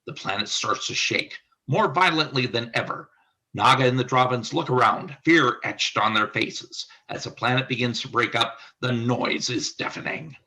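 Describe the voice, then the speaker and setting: A man's voice: medium pitch, normal speed, sharp tone, rough recording. A man reading scripted stage-direction narration for a radio play.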